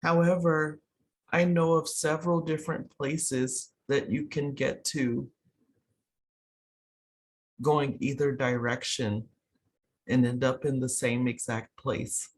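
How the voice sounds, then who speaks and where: A person leading a guided meditation in a soothing, unhurried way, pausing between short phrases. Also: mid-range voice, fairly even intonation. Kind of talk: guided meditation, slow instructions.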